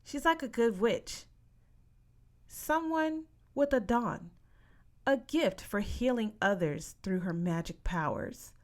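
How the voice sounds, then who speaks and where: A woman's voice: on the high side, animated, slow. A woman voicing a practical mother who explains things patiently in a story reading. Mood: explaining.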